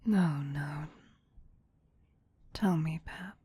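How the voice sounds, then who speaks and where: Lady doing a whispery vampire queen for asmr, low and slow, doting but bossy, teasing. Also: exaggerated voice. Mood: teasing concern. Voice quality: breathy, soft whisper. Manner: slow, hushed, doting yet domineering. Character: possessive, teasing, soothing vampire queen mistress. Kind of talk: ASMR roleplay.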